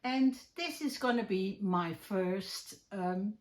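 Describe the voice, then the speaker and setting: A woman's voice: medium pitch, slow pace. A woman with a Dutch accent chatting casually about her crochet projects at home, with lots of ums and restarts. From Netherlands. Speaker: crochet vlogger. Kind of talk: casual chat while showing crafts.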